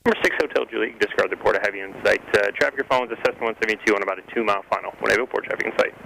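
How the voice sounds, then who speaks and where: A low-voiced man directs aircraft as a tower controller in very quick, clipped phrases. He comes through a crackly, slightly noisy aviation radio. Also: gravelly, pushed and bright. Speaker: air traffic tower controller.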